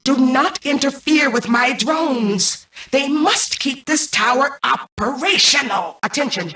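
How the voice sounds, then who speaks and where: A woman playing a cold, imperious mainframe AI in a video game, menacing and calculating, with formal, commanding, measured robotic delivery. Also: sits high, expressive, a bit bright, heavily acted. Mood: urgent, commanding. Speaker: game antagonist turned ally. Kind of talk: scripted video game voice acting. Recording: distant, echoey processed game audio.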